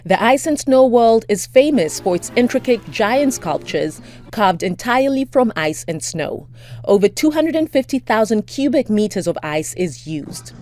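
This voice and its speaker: A woman reporter narrating a scripted news feature in a lively, animated way. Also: a little high. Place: outdoors in a snowy city.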